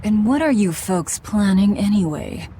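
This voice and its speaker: A woman's voice: mid-range voice, lively intonation, measured pace. A woman playing a cold, distrustful demon leader in a video game, with a terse, imperious delivery. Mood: suspicious. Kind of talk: English video game dub acting. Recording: loud music behind voice.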